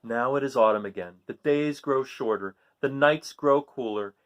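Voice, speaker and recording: A man reading a children's picture book aloud, with dramatic, drawn-out emphasis on key words. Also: mid-range voice, fairly bright tone, clear, resonant tone. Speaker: storybook reader reading aloud.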